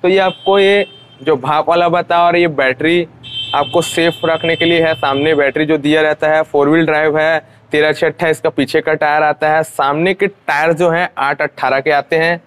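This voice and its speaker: A man's voice: on the high side, steady tone, normal speed, fairly bright tone, slight background noise. A young man from India, giving an informal, repetitive and explanatory sales walkaround as a vlogger. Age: young adult. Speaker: vlogger presenting used tractors. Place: outdoors at a roadside tractor dealership.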